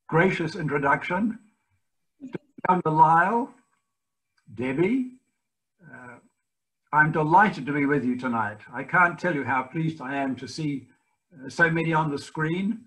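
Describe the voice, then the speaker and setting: An older man with a New Zealand accent, giving a guest speech over a video call from his home library, often pausing with fillers. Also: on the high side, a bit bright, poor audio. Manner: halting, many fillers and restarts. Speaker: guest speaker.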